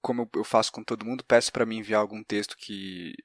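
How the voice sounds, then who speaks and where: A man's voice: fairly low pitch, steady tone, fast, no echo. A man with a Brazilian Portuguese accent, interviewing as a podcast host in a conversational, inquiring way with hesitant phrasing.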